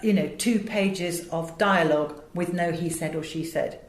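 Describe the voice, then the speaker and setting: English woman, kinda low voice, articulate interview chat about her work, echoey room sound. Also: measured pace. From England. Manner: articulate, illustrates points with acted examples. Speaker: voice director and audiobook producer. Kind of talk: interview talk, explaining her craft.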